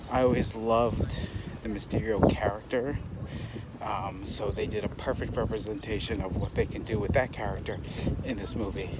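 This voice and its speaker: A man giving a casual, unscripted movie review as a vlogger, in a halting, rambling way that trails off mid-thought. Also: medium pitch. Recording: loud outdoor urban traffic noise.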